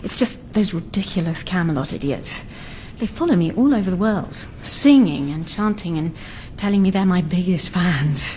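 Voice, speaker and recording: A woman's voice: lowish voice, lively intonation, normal speed, few pauses, a little mellow, slight background noise, lightly acted, rough recording. A woman acting a haughty, imperious film star. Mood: grand, scornful. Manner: grand, theatrical, condescending. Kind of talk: radio sitcom acting.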